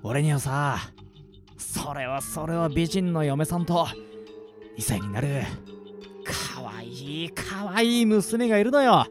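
A man's voice: a little high, lively intonation, slow, over music. A man playing a chatty, easygoing prisoner in a radio drama, familiar, casual and teasing. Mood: breezy teasing. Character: chatty, easygoing male prisoner, doting father.

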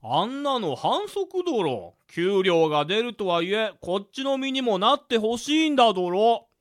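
A woman's voice: mid-range voice, sing-song, normal speed, close to an everyday voice. A woman playing a lazy male mud monster in a radio drama, grumbling with an oafish verbal tic. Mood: complaining. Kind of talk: anime-like radio drama acting.

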